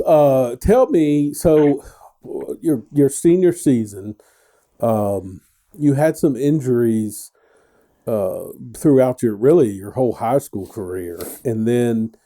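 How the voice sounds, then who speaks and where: Dark-voiced middle-aged male host. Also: mid-range voice. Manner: rambling, joking, many restarts. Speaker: radio show host. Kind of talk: casual radio interview.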